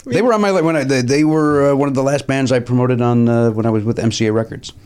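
A man's voice: mid-range voice, quick pace, darkish tone, clean tone. A man co-hosting a podcast who chats casually and drops jokey asides. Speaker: podcast co-host. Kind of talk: casual chat.

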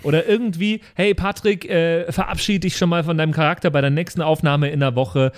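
A man's voice: on the high side, steady tone, fairly quick. A man chatting casually as a podcast cast member. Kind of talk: casual chat.

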